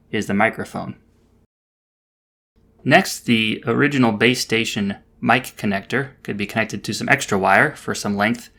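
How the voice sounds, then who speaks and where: A man's voice: on the low side, a bit bright. A man narrating a DIY project in a casual, explanatory voice-over with a dry, understated manner.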